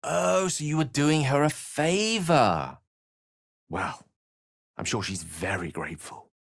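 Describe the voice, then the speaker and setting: An actor voicing a wry, bookish human wizard in a video game, speaking with a British accent in an articulate, theatrical and wordy manner. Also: male voice, a little high, expressive, slow, gravelly, dry recording, studio quality, exaggerated voice. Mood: sardonic.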